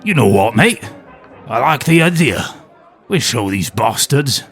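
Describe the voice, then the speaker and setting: A man's voice: medium pitch, big pitch swings, a little slow, a bit bright, slightly rough, faint music behind, heavily acted. A man playing an aging former nature documentary host with a wry, world-weary, conspiratorial manner. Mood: gruff. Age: older.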